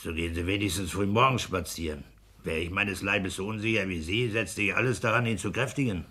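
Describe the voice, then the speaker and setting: Ein Mann spielt in einem Hörspiel der sechziger Jahre einen polternden, herrischen, pedantischen kleinbürgerlichen Ehemann und Beamten. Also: male voice, sits low, a little fast, pushed and bright, husky, exaggerated voice. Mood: pedantic. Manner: pedantic, domineering, emphatic. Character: blustering, bullying petty-bourgeois husband and civil servant. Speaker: radio play actor. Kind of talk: 1960s German radio play acting.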